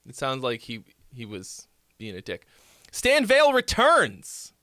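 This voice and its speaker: A man's voice: medium pitch, huge pitch swings, unhurried, bright, forceful tone, dry recording, studio quality. A man with a nasal voice hosting a live song critique stream, rambling casually with lots of fillers and restarts. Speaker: music critique livestream host. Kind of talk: casual livestream song feedback.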